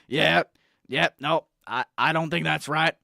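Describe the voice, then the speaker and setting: A man voicing a brash male news correspondent and supplement salesman who rants loudly and pushily about conspiracies in a comedy radio bit. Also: a little high, fairly even intonation, unhurried, vocal fry, no room sound, clean studio sound, exaggerated voice. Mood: ranting. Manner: ranting, loud, pushy.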